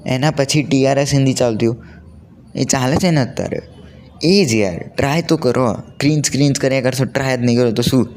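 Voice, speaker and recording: A man's voice: medium pitch, brisk, a little mellow, slight background noise. A teenage male vlogger with a Gujarati accent gives an unscripted, earnest pep talk in colloquial speech mixed with English words. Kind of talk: unscripted casual motivational talk to camera.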